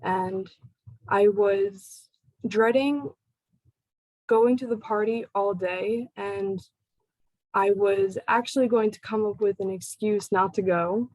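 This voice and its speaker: A young woman from the United States telling her personal story to a support group, speaking haltingly with pauses between phrases. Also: medium pitch, steady tone, darkish tone, dry recording, rough recording. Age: young adult.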